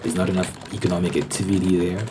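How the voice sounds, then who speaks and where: A man with a low voice talks through his opinions in an unscripted ASMR ramble. He explains step by step and circles back to repeat points for emphasis. There is clear noise behind him. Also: level tone, quick pace. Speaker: host of a rambling ASMR channel.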